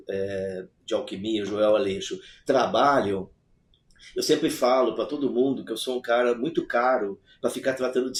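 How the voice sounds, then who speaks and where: A man speaks as a podcast guest and explains his thinking thoughtfully, step by step. His voice is mid-pitched. The sound carries a roomy echo. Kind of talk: explanatory conversation.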